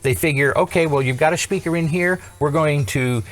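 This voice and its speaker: A man's voice: on the high side, brisk. A man presenting an unscripted hands-on electronics tutorial in an informal, explanatory way with wry asides.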